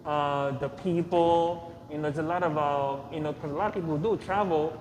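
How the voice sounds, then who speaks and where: A man in his thirties who grew up in Nigeria, answering interview questions in a soft, hesitant way with many fillers. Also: a little high, fairly even intonation, normal speed. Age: 30s. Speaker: podcast guest. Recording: echoey room with long reverb, clear ambient noise.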